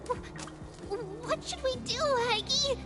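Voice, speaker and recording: An actress playing a gentle, anxious young girl aide in a game, speaking haltingly. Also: extremely high pitch. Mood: worried. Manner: hesitant, soft-hearted, halting. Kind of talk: scripted game story dialogue. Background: game music.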